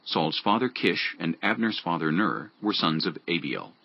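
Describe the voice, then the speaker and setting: A man's voice: low voice, sing-song, moderate pace, creaky, highs cut off. A man reading scripted narration for a dramatized audio Bible.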